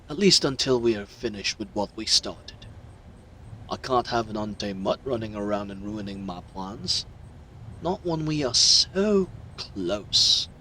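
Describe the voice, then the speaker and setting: A man's voice: medium pitch, pushed and bright, a little hiss or hum, low-quality recording. A man voicing a smug, mocking villain with theatrical, condescending delivery in an audio roleplay. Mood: smug, taunting. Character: smug, mocking male villain crime broker.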